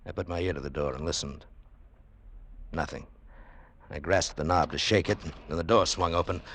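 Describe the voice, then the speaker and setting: Low, hard-boiled radio adventurer. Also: male voice, moderate pace, fairly bright tone, husky. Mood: tense, reflective. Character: hard-boiled, wry male adventurer narrating his tale. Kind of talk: 1940s radio acting and first-person narration. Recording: noisy vintage radio recording.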